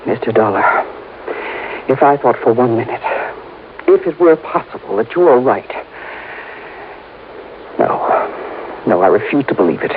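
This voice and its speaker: An actress playing a haughty, domineering wealthy wife with imperious indignation in a melodramatic old radio drama. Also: female voice, low-pitched. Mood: affronted, wavering. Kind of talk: melodramatic 1950s radio acting. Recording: muffled, faintly hissy vintage radio.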